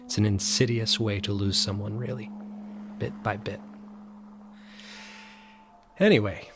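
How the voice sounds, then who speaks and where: A man's voice: on the low side, steady tone. Troubled, wistful man over music. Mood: wistful, grieving. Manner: reflective, halting. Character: troubled, wistful grieving man returning to childhood haunt. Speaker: narrator and lead actor. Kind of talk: audio drama acting with first-person narration.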